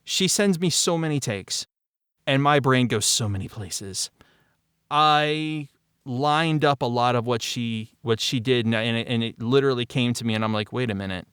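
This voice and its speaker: A middle-aged man talking casually as a voice actor and creator in a cast discussion, telling stories in an animated way with mild swearing. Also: medium pitch, moderate pace, clean tone, no room sound, clean studio sound. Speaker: voice actor and audio drama creator.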